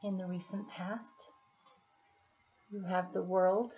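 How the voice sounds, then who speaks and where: A woman with a slightly hoarse, scratchy throat, giving a casual tarot reading in an unhurried, deliberate manner with pauses as she explains the cards. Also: fairly low pitch, steady tone, dark tone. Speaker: tarot reader. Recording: dull, muffled lo-fi audio.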